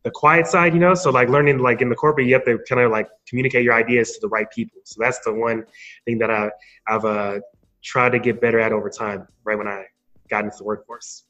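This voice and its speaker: A man giving an informal, halting answer as a guest in a casual interview. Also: medium pitch, fairly even intonation, fairly bright tone, muffled recording. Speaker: podcast guest, marketing speaker.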